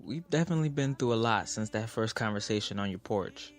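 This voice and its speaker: A man's voice: on the low side, lively intonation, brisk, close to an everyday voice. Actor as earnest young man in love. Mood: tender. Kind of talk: audio drama dialogue.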